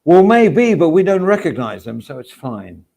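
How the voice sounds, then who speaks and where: A man's voice: a little high, sing-song, normal speed, gravelly, dark tone. A man hosting a livestream, chatting casually and reading out viewer comments. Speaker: stream host. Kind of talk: casual chat.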